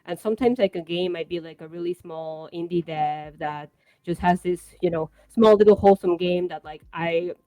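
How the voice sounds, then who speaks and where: A woman's voice: lowish voice, moderate pace, mellow, rough recording. A young adult woman streamer talking in a casual livestream chat, enthusiastic and rambling with many fillers. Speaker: streamer guest.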